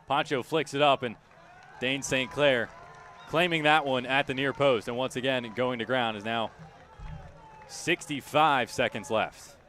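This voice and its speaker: A man's voice: medium pitch, steady tone, a little slow, bright, forceful tone, some chatter behind. A man doing live radio play-by-play of a soccer match, describing each touch in clipped, urgent, emphatic phrases. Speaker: play-by-play announcer.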